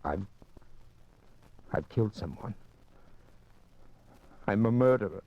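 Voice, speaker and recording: A man's voice: lowish voice, lively intonation, takes it slowly. An actor playing a desperate young man racked with guilt, urgent and pleading and sometimes dropping to a whisper, in old-time radio drama style. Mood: anguished. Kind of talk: 1940s radio acting. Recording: dull lo-fi old radio recording.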